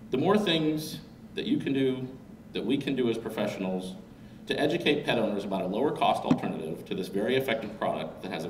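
A man's voice: lowish voice, a little hiss or hum. A man in his forties, a veterinarian, speaking earnestly and persuasively at a press conference podium. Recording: roomy, distant podium mic.

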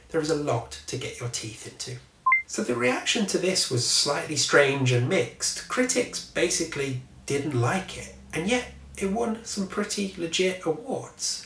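A man's voice: fairly high pitch, fairly bright tone, distant mic, a little hiss or hum. A man in his thirties giving a chatty, wry book review to camera from a small home office. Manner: chatty and wry, swears at passing vehicles. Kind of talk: casual talk to camera. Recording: echoey room, mic set back.

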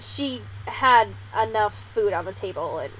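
A woman's voice: medium pitch, expressive, a little slow, a crowd in the background, poor audio. A young woman hosting a podcast in a casual, informal, giggly way, with slang and swearing. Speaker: podcast host. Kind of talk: casual chat.

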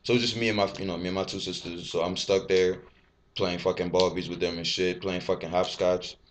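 Young man from Ivory Coast, French first language, low voice, rapid candid webcam vlog, faint hiss. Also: little pitch movement. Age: 20s. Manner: candid, rambling, swears freely, gestures a lot. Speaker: fitness vlogger. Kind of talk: casual personal vlog to camera. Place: small bare indoor room. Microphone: webcam at desk.

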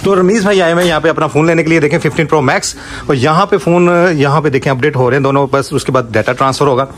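Lively man vlogging to a phone camera. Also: on the high side, very fast, slight background noise. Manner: lively, promotional, talks straight to the camera. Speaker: vlogger and phone shop owner. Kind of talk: casual vlog chat. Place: shop, street and restaurant kitchen. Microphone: handheld phone at arm's length.

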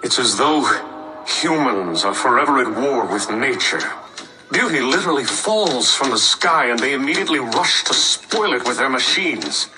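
A man as preachy, animated cartoon nature lover. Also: mid-range voice, close to an everyday voice, poor audio. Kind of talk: cartoon voice acting.

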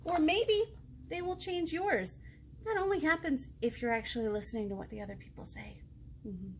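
A woman's voice: high-pitched, moderate pace, slight background noise. An American woman in her thirties leading a children's storytime, speaking expressively in simple phrases to young listeners. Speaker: children's librarian hosting storytime. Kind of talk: children's storytime read-aloud and chat. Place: small indoor room, seated before a book display.

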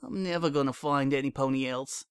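A man voicing a heartbroken young female pony who speaks in a cold, flat tone that breaks into sobbing, in a dry recording. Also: medium pitch, brisk, clean tone, dry recording, natural voice.

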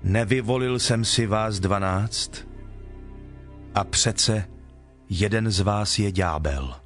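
A solemn male teacher of authority, played by an actor in a scripture drama. His voice sits on the low side and his delivery is measured and declarative, with clear music underneath. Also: natural voice. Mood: grave, challenging. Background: background music.

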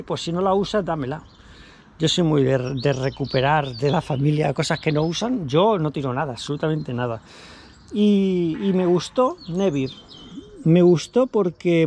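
A man's voice: fairly high pitch, slight background noise. Chatty man showing his gear. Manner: chatty, repeats himself, lively asides. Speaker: vlogger. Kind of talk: casual show-and-tell vlog. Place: outdoors by a car in a car park. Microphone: action camera held at arm's length.

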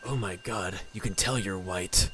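Man playing a deadpan, edgy cartoon hedgehog. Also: low voice, measured pace, very noisy. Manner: dry, deadpan. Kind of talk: improvised comedy fan dub. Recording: loud music behind.

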